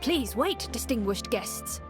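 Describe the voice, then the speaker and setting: A woman's voice: a little high, lively intonation, slow pace, music in the background. A woman voicing a formal, deferential courtier of a fairytale kingdom in a video game. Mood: polite, urgent.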